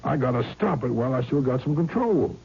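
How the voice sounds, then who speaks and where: A man playing a folksy, gruff small-town sheriff with a blustery manner, in an old-time radio drama. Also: mid-range voice, expressive, brisk, a little mellow, muffled recording. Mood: worried, insistent. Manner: folksy drawl, hesitant, blustery. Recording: thin, noisy vintage radio recording.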